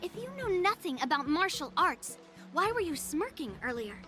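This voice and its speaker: A woman's voice: very high-pitched, sing-song, moderate pace, pushed and bright, exaggerated voice. An actress playing a brash, proud and competitive young girl sword master in a video game, blunt and challenging. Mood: suspicious. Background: game music.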